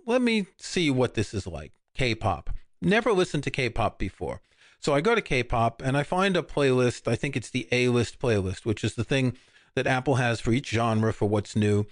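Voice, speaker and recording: A man's voice: medium pitch, normal speed, no echo. Dry, close-mic'd older man. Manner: relaxed, rambling, many restarts. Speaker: podcast co-host. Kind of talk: casual chat.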